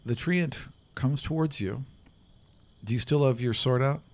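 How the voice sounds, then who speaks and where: Slow-paced man narrating a game. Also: medium pitch, crowd noise. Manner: halting, many pauses mid-sentence. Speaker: game master. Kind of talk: improvised tabletop game narration. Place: small room, around a table. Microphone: individual table microphone, close.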